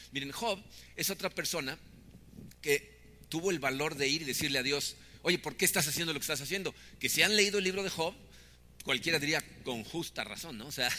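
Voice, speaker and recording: Man, 50s, sermon, fairly high voice, chatty rhetorical tags. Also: sharp tone, long reverb tail, slight background noise. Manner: conversational preaching with frequent "¿no?" tags. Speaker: pastor.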